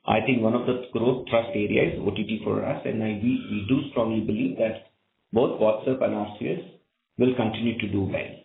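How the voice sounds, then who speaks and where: A man's voice: fairly low pitch, fairly even intonation, fairly quick, creaky. A man speaking as a company executive on an earnings call, explaining business results and often checking in with "right?". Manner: frequent "right?" check-ins. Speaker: company executive answering analysts. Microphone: conference call line. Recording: phone-like narrow band, echoey conference line.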